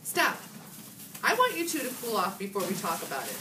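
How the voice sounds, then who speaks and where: A woman voicing a patient female schoolteacher who speaks in a firm, measured way. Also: mid-range voice, wildly swooping pitch, pitched above the performer's own voice. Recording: roomy, distant from the mic, faint background hiss.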